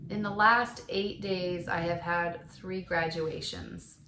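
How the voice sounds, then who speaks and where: A middle-aged woman, a little hoarse from shouting, co-hosting a casual podcast and gently asking questions. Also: on the low side, steady tone, slow pace, reverberant, slight background noise, poor audio. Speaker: podcast co-host. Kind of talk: casual chat.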